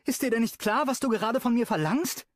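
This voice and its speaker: A fiery, stubborn young woman, performed by a man reading a romance audiobook speaks in a lifted, bright register with big swings in pitch. She is sharp-tongued. The recording is dry and close, with no room sound. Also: expressive, brisk, no room sound. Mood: angry.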